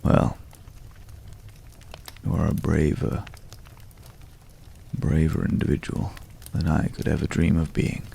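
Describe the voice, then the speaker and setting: Man playing a very gravelly, slow-spoken brooding gentleman. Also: a bass voice, monotone, full character voice. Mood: polite curiosity. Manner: archaic, florid, hesitant, pensive phrasing. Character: mysterious, courtly, world-weary man at a night campfire. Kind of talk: slow, intimate ASMR roleplay acting.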